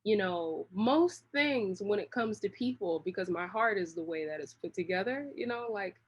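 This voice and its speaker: A woman's voice: mid-range voice, animated, normal speed, breathy edge, lo-fi sound. A woman talking casually as an interview guest, in a rambling, slangy, long-winded way. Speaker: interview guest, creative director.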